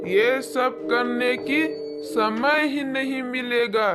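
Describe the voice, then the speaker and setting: A woman's voice: a little high, fairly even intonation, extremely slow, over loud music. A woman playing a stern village holy man who speaks in firm declarations in a cartoon story. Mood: stern, threatening. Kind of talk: Hindi cartoon story acting.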